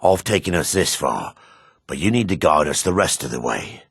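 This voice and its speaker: An actor playing a devout, remorseful dark elf priest in a fantasy video game, speaking formally and gravely with a raspy voice. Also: male voice, very deep voice, lively intonation, dry recording, studio quality, full character voice. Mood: grave, urgent. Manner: formal, measured, grave delivery.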